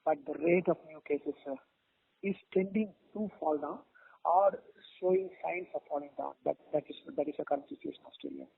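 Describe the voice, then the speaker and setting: Over a thin, tinny phone line, a man answers interview questions as a doctor. His voice sits slightly high for a man and has a creaky, gravelly edge. He explains in a formal, measured way, halting as he goes. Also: sing-song. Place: phone call. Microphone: telephone.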